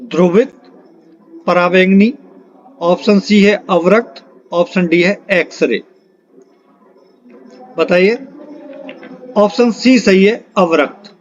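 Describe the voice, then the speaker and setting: A high-voiced man leads a quiz-style exam lesson. He reads each item out in an instructional way and repeats key points. The recording sounds dull and lo-fi. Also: takes it slowly, pauses often. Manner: instructional, repeats questions and answers for emphasis. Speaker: exam-prep physics teacher. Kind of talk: question-and-answer lesson, reading out questions and options.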